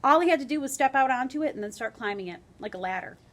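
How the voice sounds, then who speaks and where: A woman's voice: high-pitched, racing. Woman teacher reading aloud to young children. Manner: expressive, conversational asides. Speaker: grade school teacher. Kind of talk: children's read-aloud lesson, storytelling and questions.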